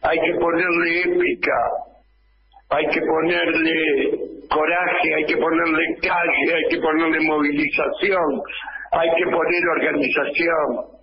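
An older man with an Argentine accent giving an impassioned political editorial on the radio, speaking slowly into a close studio microphone and hammering rhetorical questions. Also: fairly high pitch, level tone, dull audio, a little hiss or hum. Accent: Argentine Spanish. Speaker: radio commentator. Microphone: studio microphone close up, wearing headphones.

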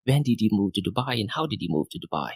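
A man's voice: on the low side, sing-song, quick pace, gravelly, breathy edge, no room sound, studio quality. A man hosting a podcast interview, enthusiastic and chatty as he asks questions. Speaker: podcast host. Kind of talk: interview host.

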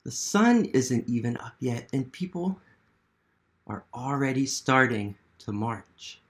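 A man's voice: mid-range voice, sing-song, slow. An American man in his thirties hosting a children's read-aloud as an educator, asking questions and encouraging young listeners. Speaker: museum literacy educator hosting a children's storytime. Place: small living room at home, indoors.

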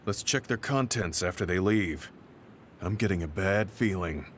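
A man's voice: low voice, normal speed, slight background noise, close to an everyday voice. A man voicing a stern grizzled soldier. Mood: serious. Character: stern grizzled male soldier, earnest and blunt. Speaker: game character. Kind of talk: game cutscene voice acting.

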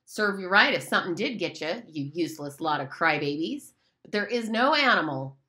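A swaggering boy who bosses everyone and sneers at the little ones, as a woman reading the story puts him on. His bright voice shoots up in pitch as he blusters. He sounds contemptuous and sure of himself. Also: sing-song, normal speed. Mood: scornful. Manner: scornful, blustering. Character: bossy boastful boy hunter leader.

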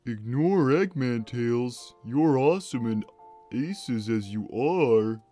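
A man voicing a big, gentle, timid cartoon cat who speaks simply and hesitantly while playing a game on a livestream. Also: mid-range voice, animated, takes it slowly. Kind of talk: in-character gaming livestream chat. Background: game music.

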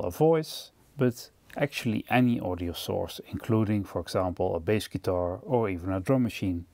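A man presenting an instructional product overview in a measured, explanatory way. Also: fairly low pitch, a little mellow, no room sound. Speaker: presenter of music gear demo videos.

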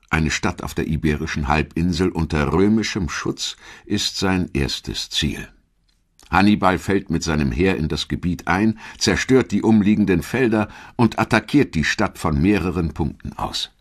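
A man narrating a scripted history documentary in a measured, dramatic storytelling manner. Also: deep voice.

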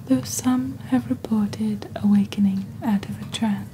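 Hushed, slow, whispering ASMR woman. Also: mid-range voice, fairly even intonation. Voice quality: breathy, whispery. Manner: slow, deliberate, hushed, theatrical storyteller delivery. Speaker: host of a roleplay shopping channel. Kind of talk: soft-spoken ASMR, scripted roleplay presentation. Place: indoors at a desk. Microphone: close desktop microphone.

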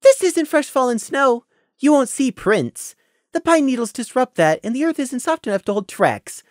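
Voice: sits high, big pitch swings, normal speed, no room sound, studio quality, pitched above the performer's own voice. A narrator voicing a sardonic, wary male pony scout who mocks sweetly. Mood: mocking. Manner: sweetly mocking, hissing.

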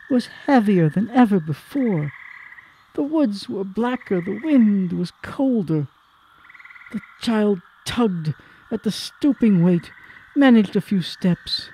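A man's voice: sits high, warm tone, a little hiss or hum, rough recording. A man giving a theatrical, literary narration of a dramatised classic story.

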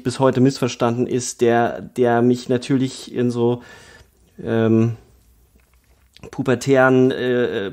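A man's voice: mid-range voice, a little mellow. A man from Bavaria hosting a film podcast, talking thoughtfully with many fillers and restarts. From Bavaria, Germany. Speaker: podcast host. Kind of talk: casual film discussion.

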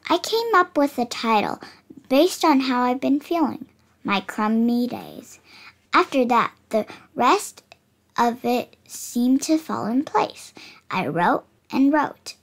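High-voiced young girl reading a storybook aloud. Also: slow. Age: child, about 8. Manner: careful, expressive reading with small stumbles. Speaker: child reader of picture books.

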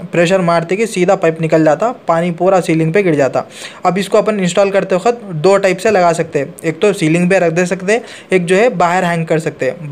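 A man, an AC technician walking through a hands-on tutorial in a plain, practical way, filmed on a handheld phone indoors. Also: fairly high pitch.

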